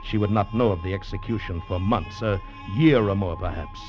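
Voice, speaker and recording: A low, grave male voice from an actor plays a convict recounting a penal-colony tale. The delivery is measured and dramatic in old radio-drama fashion. It comes through a dull vintage recording with prominent music behind it. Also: a little mellow, close to an everyday voice. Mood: somber, ominous. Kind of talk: 1940s radio drama narration and acting.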